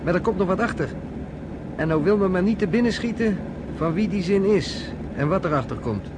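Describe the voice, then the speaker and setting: An actor playing a sardonic, self-assured journalist in a Dutch radio drama, with a dry, conversational, probing manner. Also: male voice, high-pitched, expressive, a little fast, noisy background. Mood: curious, polite.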